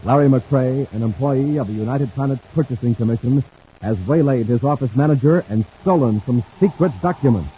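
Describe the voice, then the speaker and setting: An actor playing a hearty, dramatic space serial announcer, punchy and emphatic, in 1950s radio style. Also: male voice, mid-range voice, a little fast, warm tone, lightly acted. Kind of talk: 1950s radio ad and story narration. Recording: muffled, noisy old radio recording.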